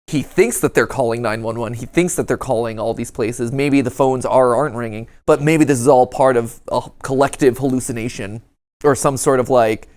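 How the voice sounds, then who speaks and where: A man's voice: mid-range voice, a little fast, darkish tone. Male host for casual reaction commentary, playful and joking, conversational delivery.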